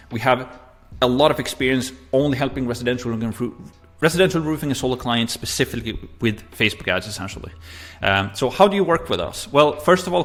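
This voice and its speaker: A man's voice: mid-range voice, fairly bright tone. Swedish-accented man, course instructor, easygoing, heavy on fillers. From Sweden. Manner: frequent fillers, "essentially" and "right?". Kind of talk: recorded marketing lesson.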